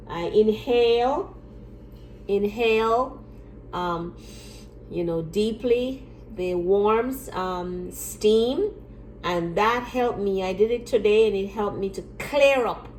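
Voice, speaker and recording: A woman's voice: medium pitch, slow pace, darkish tone. An older woman, a retired nurse, chatting casually at home as she walks through a simple remedy step by step, often repeating herself. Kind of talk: casual home demonstration video. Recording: echoey room with faint background hiss.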